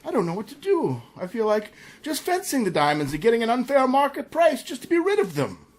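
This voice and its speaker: A man's voice: very high voice, wildly swooping pitch, fairly quick, exaggerated voice. An actor playing an anxious, gullible wealthy man in a melodramatic radio mystery. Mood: anxious, fretful. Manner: fretful, melodramatic delivery. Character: anxious, gullible wealthy male diamond collector in therapy. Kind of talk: radio mystery drama acting.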